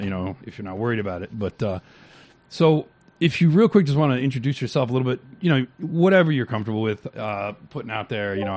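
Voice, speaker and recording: A man's voice: fairly low pitch, a little mellow. A man hosting a casual podcast, talking in a loose, rambling way full of "like" and "you know". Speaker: podcast host.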